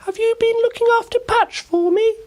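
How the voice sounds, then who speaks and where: A man's voice: very high-pitched, normal speed, pitched above the performer's own voice. A man voicing a friendly, keen little girl in a children's storybook.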